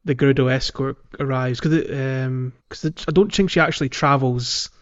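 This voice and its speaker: A man co-hosting a podcast, chatting casually about a video game in a halting, conversational way. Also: medium pitch, steady tone, lo-fi sound. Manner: halting, peppered with "like" and "you know". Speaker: podcast co-host.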